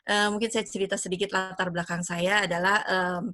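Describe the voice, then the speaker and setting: A woman's voice: mid-range voice, level tone, brisk, pushed and bright, no room sound, clean studio sound. A woman in her fifties from Padang, hosting an online business presentation on a video call in an enthusiastic, persuasive way with many fillers. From Indonesia (Padang). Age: 50s. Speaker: event host. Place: home, lives in the United States.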